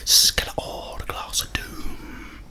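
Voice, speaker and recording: A British man hosting an ASMR ramble in a breathy, unvoiced whisper, chatting casually with slow pauses. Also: bright, forceful tone. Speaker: ASMR video host.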